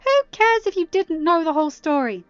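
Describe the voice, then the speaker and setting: A woman's voice: very high voice, animated, a little fast, dry recording, lo-fi sound, soft background music, voice pushed up. A woman voicing an angry, sarcastic, defiant young woman with wounded pride, speaking firmly and scornfully in an audiobook-style story reading. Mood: defiant anger. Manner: firm, scornful, emphatic.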